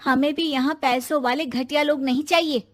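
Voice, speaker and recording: High-voiced, firm young woman, acted by a narrator. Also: fairly even intonation, moderate pace, close to an everyday voice. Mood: indignant. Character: confident young married NGO working woman, firm yet polite.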